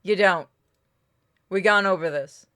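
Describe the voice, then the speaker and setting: A woman's voice: mid-range voice, measured pace, bright, forceful tone, clear, resonant tone. A woman giving casual, blunt commentary as she plays through a game. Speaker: game streamer. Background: game music.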